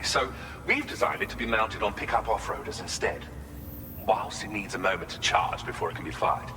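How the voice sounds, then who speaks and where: Actor as a courteous, animated scientist in a reverberant hall. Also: male voice, mid-range voice, lightly acted. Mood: grave, cautioning. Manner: formal, wordy, precise British-style phrasing. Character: courteous, enthusiastic male scientist and inventor, eager to explain. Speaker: researcher and quest giver. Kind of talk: scripted game cutscene dialogue. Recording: long hall reverb, clear vehicle ambience noise.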